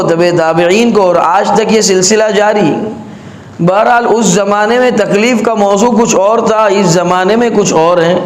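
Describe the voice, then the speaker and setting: An Indian male cleric delivers a sermon in a notably high voice. He goes very slowly and deliberately, teaching point by point in formal phrasing. The sound is clearly echoey and reverberant. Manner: measured, didactic, repeats points in formal phrasing. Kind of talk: sermon, explanatory religious address.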